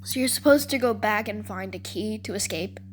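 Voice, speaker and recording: A woman's voice: medium pitch, brisk. A woman giving casual gameplay commentary and walkthroughs as a video creator, using informal gamer slang. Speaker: video creator and game level maker. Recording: music under the voice. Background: music.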